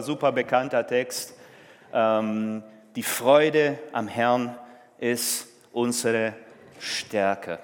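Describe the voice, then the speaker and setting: A man from Peru with a Spanish accent, preaching a sermon as a congregation's pastor. He speaks earnestly and lifts his voice on key phrases. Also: medium pitch. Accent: Peruvian Spanish. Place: church hall. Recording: reverberant church hall.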